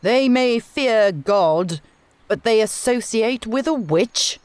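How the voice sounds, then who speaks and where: A woman's voice: fairly high pitch, lively intonation, takes it slowly, a little mellow, no room sound, pitched above the performer's own voice. A woman voicing an arrogant, zealous male witch-finder in a storybook reading, with pompous, declamatory delivery. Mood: outraged, commanding.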